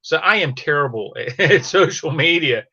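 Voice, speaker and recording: Halting man with creaky, gravelly voice. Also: a little high, expressive, sharp tone, no echo, muffled recording. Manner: halting, hesitant, repeats words. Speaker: fiction podcast host. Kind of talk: casual panel conversation.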